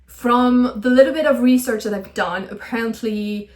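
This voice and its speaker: A woman's voice: a little high, moderate pace, darkish tone. A woman talking through her book plans in a friendly, enthusiastic, chatty way, with small laughs now and then. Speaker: book vlogger. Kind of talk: casual chatty book video. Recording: echoey room.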